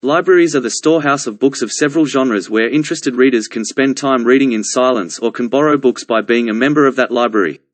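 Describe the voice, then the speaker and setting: A man reading a study passage aloud in a measured, formal way. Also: mid-range voice, no room sound. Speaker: reader of practice exam passages.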